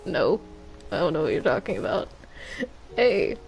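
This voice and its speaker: An excitable, stammering online gamer chatting casually in character during a roleplay game. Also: medium pitch, moderate pace, vocal fry, faint music behind, poor audio. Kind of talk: casual in-character roleplay chat while gaming.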